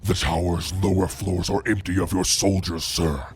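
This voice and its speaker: A man's voice: low-pitched, flat delivery, normal speed, close to an everyday voice. A man playing a deferential, uneasy demon soldier in an ASMR fantasy roleplay. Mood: uneasy, reporting. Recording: noisy background ambience.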